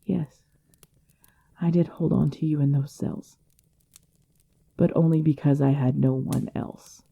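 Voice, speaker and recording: A woman voicing a commanding female vampire clan leader, possessive and tender, in a dark, solemn ASMR roleplay, often dropping into a whisper. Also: low voice, steady tone, a little slow, gravelly, slightly rough, muffled recording, exaggerated voice. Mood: haunted, bitter.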